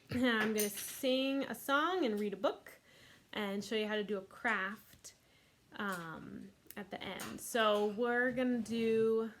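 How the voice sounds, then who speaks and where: A woman librarian leading a children's storytime, reading aloud gently and unhurriedly with a few fillers. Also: fairly high pitch, a little hiss or hum.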